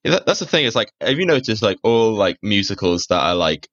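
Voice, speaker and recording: A man's voice: fairly low pitch, big pitch swings, fairly quick, fairly bright tone, dry recording. A young man co-hosting a podcast, chatting casually and rambling with lots of fillers. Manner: rambling, filler-heavy, opinionated. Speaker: podcast co-host. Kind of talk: casual chat. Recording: dull, dry sound.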